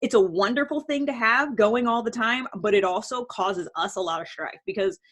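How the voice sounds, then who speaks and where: A woman's voice: fairly high pitch, moderate pace, a bit bright. A woman vlogger explaining things casually and unscripted, in a rambling conversational way with many hedges. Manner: conversational, rambling, hedging with "like" and "sort of". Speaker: video blogger on personality typing.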